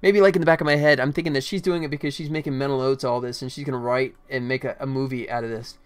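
Man, forties, medium pitch, game developer, casual working commentary, faint background music. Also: steady tone, racing, smooth. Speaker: game developer streaming his work. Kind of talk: casual live-stream commentary while working. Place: small indoor room at a computer. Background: faint music, keyboard typing.

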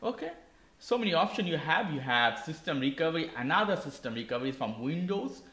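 A man's voice: a little high, big pitch swings, brisk, fairly bright tone, reverberant. A man hosting a tech tutorial, walking through a laptop reset step by step in simple, non-native English. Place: indoor desk.

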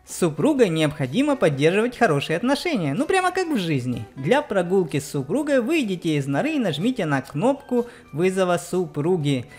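A woman's voice: on the low side, sing-song, a little fast, hardly any pauses, darkish tone, soft background music. A woman giving explanatory game commentary for children, speaking directly to her young viewers. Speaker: let's play narrator on a children's channel.

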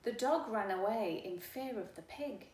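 A middle-aged woman reading a children's book aloud in an expressive, deliberate, rhythmic way. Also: fairly high pitch, recorded from a distance. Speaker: storyteller. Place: living room at home. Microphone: camera a few feet away. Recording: echoey room, faint background noise.

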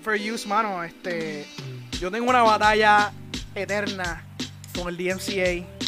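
A Puerto Rican man with a high-pitched voice chats as a podcast host. His talk is slangy, with English words dropped in. Music plays clearly behind him. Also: fairly even intonation, a little slow. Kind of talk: casual podcast chat. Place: small room at home, indoors. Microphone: close microphone on a boom arm.

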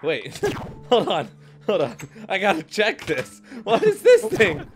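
A man's voice: high voice, huge pitch swings, slow, vocal fry, faint music behind. A man chatting casually while gaming with friends, joking and exclaiming freely. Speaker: gamer, one of a group of players. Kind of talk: casual excitable gaming chat.

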